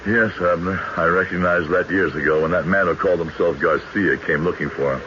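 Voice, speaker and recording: An actor playing an earnest, formal country judge who also narrates a radio mystery in a deliberate way. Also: male voice, sits low, steady tone, natural voice. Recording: noisy, muffled vintage radio broadcast.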